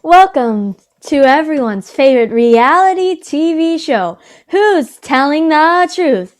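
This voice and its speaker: A woman reading the part of a brisk reality TV host in readers theater. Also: sits high, animated. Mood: presiding.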